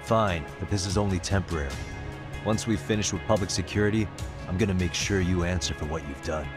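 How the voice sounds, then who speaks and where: A man playing a serious, guarded detective in a video game dub, speaking in a measured, firm, matter-of-fact way. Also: sits low, music in the background, lightly acted. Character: serious, determined male detective, cool-headed and guarded.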